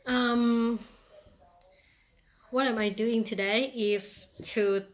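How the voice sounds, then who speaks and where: A woman's voice: a little high, fairly even intonation, drawn-out words. A young woman from Thailand with a Thai accent, chatting playfully through a casual how-to demonstration with many fillers. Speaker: vlogger.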